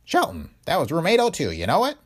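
A man's voice: fairly high pitch, huge pitch swings, fast, pitched above the performer's own voice. A man voicing a crafty, cackling old male detective who talks in a folksy, ungrammatical way. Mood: gleeful.

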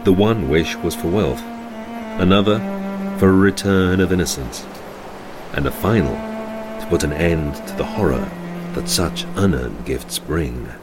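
A man's voice: deep voice, unhurried. A man playing a brooding horror anthology host, narrating in a solemn, portentous, scripted style. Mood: ominous, solemn. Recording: music under the narration.

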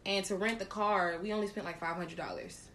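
A woman's voice: medium pitch, fairly even intonation, brisk, slight background noise. Brash, crude-joking woman podcast host. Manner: loud, laughing, crude joking. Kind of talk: casual chat.

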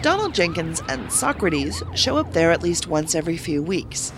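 An actress playing a sarcastic federal investigator in a science fiction audio drama, with a brisk, clipped, sardonic manner. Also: female voice, lowish voice, very animated. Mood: businesslike. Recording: clear vehicle noise behind voice.

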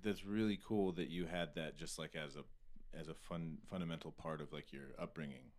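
A man with an American accent and a low voice hosts a relaxed podcast interview. He talks informally and loosely, with plenty of slang, as if chatting with someone he knows. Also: fairly quick. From Arizona, US. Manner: laid-back slangy talk peppered with "like". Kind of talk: casual conversational interview.

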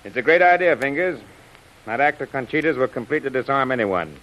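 A man's voice: medium pitch, lively intonation, brisk, lo-fi sound, lightly acted. An actor playing a calculating, commanding male crime boss in a 1930s radio serial style. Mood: approving, commanding. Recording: hissy, noisy old radio recording.

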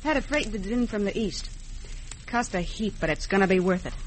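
A woman's voice: medium pitch, animated, moderate pace, close to an everyday voice. An actress playing a shrewd, wary female counterfeiter in an old-time radio western, with a folksy, businesslike way of talking. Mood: confident. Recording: noisy old radio recording.

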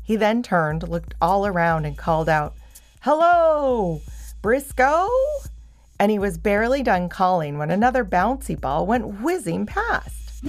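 Creaky-voiced woman narrating a children's story. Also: medium pitch, animated, normal speed.

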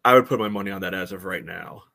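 A man with an American accent and a fairly low voice. He co-hosts a soccer podcast and analyses matches conversationally. His delivery wanders and halts, padded with verbal filler. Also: fast, gravelly, bright, forceful tone. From United States. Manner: rambling, hesitant, frequent "you know". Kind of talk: casual chat, match analysis.

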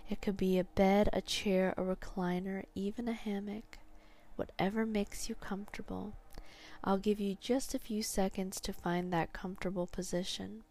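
A woman hosting a guided sleep hypnosis session, speaking softly and soothingly, often in a near whisper. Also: mid-range voice, flat delivery. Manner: soft, slow, soothing, partly whispered. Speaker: hypnotherapist host.

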